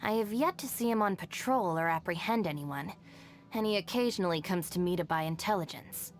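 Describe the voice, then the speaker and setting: A woman's voice: fairly low pitch, fairly quick, close to an everyday voice. An actress playing a level-headed, self-reliant young woman in a video game, speaking in a composed, dry, matter-of-fact way. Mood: dry, unruffled. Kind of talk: scripted video game character voice lines. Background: background music.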